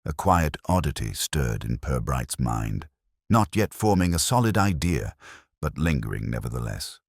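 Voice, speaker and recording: A man narrating a cosy mystery story in a measured, formal, literary manner. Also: extremely low pitch, sing-song, vocal fry, no echo, studio quality. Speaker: audiobook narrator.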